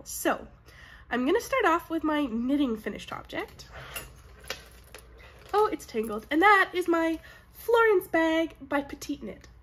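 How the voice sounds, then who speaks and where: High-voiced, sniffly young woman chatting. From Canada. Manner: chatty, upbeat, frequent "like" and "um". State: nasal and sniffly from a cold. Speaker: knitting podcast host. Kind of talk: casual chat to camera. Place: home room with bookshelves, indoors. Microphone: camera in front at desk distance.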